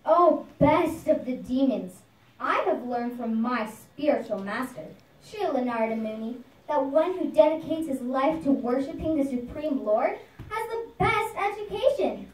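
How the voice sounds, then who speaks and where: A woman's voice: high-pitched, expressive, a little slow. A woman voicing a saintly, fearless young boy prince who preaches earnestly in a puppet show, sounding recited. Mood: devout. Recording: echoey noisy room, far from mic.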